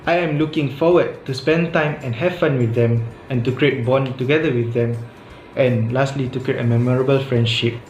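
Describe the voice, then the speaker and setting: A man's voice: medium pitch, steady tone, moderate pace, darkish tone. Young man reading earnestly, echoey room. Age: young adult. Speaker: student. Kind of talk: scripted reflection read aloud. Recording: roomy echo with background music.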